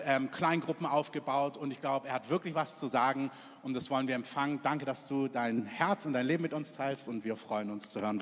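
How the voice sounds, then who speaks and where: A man's voice: medium pitch, a bit bright. A man speaking as a church leader, giving a live introduction for a guest preacher. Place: large church hall, live before a congregation. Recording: long hall reverb from a church PA.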